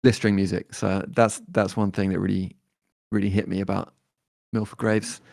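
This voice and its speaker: A man, talking as a guest musician in a relaxed interview, thoughtful and hesitant with many fillers and restarts. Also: sits low, normal speed, creaky, no room sound, clean studio sound. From born in Detroit, raised in London.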